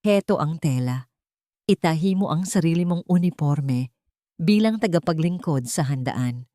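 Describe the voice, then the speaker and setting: A woman's voice: sits low, lively intonation, a little slow, mellow, no room sound, studio quality. A woman playing a cold, haughty old matriarch in an audio story, imperious and belittling. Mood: cold, commanding.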